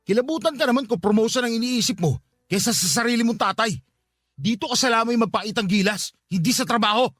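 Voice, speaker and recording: A high-pitched, fast-talking older brother with a Filipino accent. He scolds and needles with blunt sarcasm, and soft music runs under the radio drama. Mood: scolding. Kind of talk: Tagalog radio drama acting.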